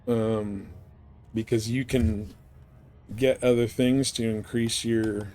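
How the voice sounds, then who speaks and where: A man's voice: fairly low pitch, steady tone, takes it slowly, dark tone, soft background music. A man livestreaming a space game, chatting casually and rambling informally as he thinks aloud. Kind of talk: casual chat while playing a space game.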